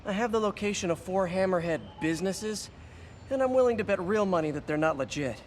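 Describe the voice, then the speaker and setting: An actor playing a young, wisecracking, earnest American superhero in a video game, tossing out quippy asides. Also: male voice, high voice, animated, brisk, slight background noise. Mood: friendly, eager. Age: young adult. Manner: quippy, self-talking.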